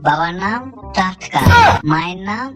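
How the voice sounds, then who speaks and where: A performer voicing a wheedling, mocking shopkeeper in a comedy cartoon, fawning and crudely sneering, with loud music behind. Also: mid-range voice, lively intonation, moderate pace, lightly acted. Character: wheedling, mocking shopkeeper who fills out forms. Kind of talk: Bengali comedy cartoon dubbing.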